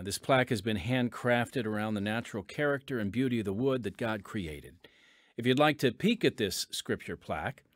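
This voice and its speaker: A man's voice: lowish voice, dry recording, studio quality. A man hosting a podcast, reading scripted promotional narration and addressing listeners in a folksy way. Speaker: podcast host and announcer.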